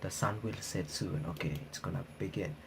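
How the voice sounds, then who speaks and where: A man's voice: deep voice, fairly quick, gravelly, a little hiss or hum. A man hosting a game playthrough, reading the text aloud with casual reactions and stumbling over words as he goes. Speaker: host of game reaction videos. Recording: faint music behind the voice. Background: game music.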